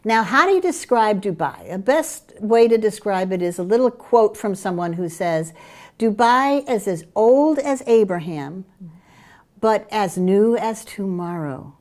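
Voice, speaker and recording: A middle-aged woman from Curaçao with a Dutch Caribbean accent, presenting group trips in an eager sales pitch. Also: lively intonation, a little slow. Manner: enthusiastic sales pitch with fillers. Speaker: travel program presenter. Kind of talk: promotional chat about group tours.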